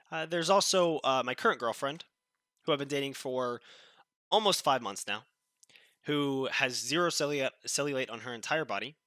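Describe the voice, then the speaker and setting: A man's voice: on the high side, pushed and bright, dry recording, clean studio sound. Nasal, sick-sounding young man. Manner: blunt and dismissive, with frequent restarts. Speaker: commentary channel host. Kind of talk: casual political commentary.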